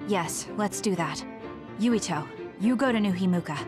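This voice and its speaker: A woman's voice: medium pitch, lively intonation, normal speed, lightly acted. A woman playing a decisive young soldier in a video game, direct and firm. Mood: determined. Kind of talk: video game cutscene acting. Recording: music clearly behind the voice.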